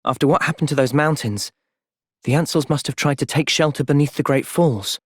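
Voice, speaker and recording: A young man acting an earnest, thoughtful soldier hero in a video game cutscene, speaking in a measured, sincere way. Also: fairly high pitch, husky, no room sound, clean studio sound. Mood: earnest, reflective.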